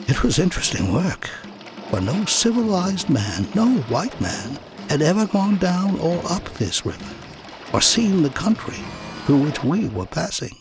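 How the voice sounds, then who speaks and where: Male narrator for memoir audiobook: animated pitch, gravelly edge, deliberate dramatic phrasing. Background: music.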